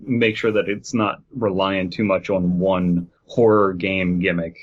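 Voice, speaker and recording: A man's voice: low-pitched, steady tone, measured pace, lo-fi sound. A man co-hosting a podcast, chatting casually about video games in an emphatic way. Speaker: podcast co-host.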